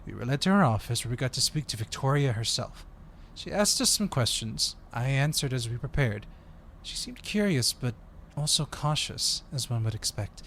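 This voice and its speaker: A man's voice: mid-range voice, lively intonation, moderate pace, creaky, fairly bright tone, a little hiss or hum. A man playing an eager, friendly undercover agent in an audio roleplay, talking in a polite, chatty way. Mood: earnest, polite.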